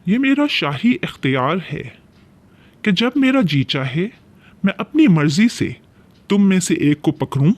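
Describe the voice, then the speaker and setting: Man voicing a grand, high-pitched sly eagle ruler. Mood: regal, demanding. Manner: grand, persuasive speech-making. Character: big, powerful, sly female eagle who rules pigeons. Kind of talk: acted cartoon fairy-tale dialogue.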